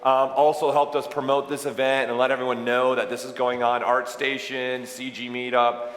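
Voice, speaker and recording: A man's voice: medium pitch, level tone, moderate pace, fairly bright tone. A man hosting a live conference, chatty and joking as he makes announcements to the crowd. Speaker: event host. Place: large event hall with audience. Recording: echoey hall, faint room noise.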